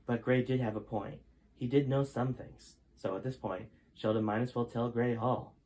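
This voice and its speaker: A man with a middle-pitched voice reads his own novel aloud. His storybook narration is plain and unpolished, with the odd restart. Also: fairly even intonation, moderate pace, a little mellow, low-quality recording. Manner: plain reading with occasional slips and restarts.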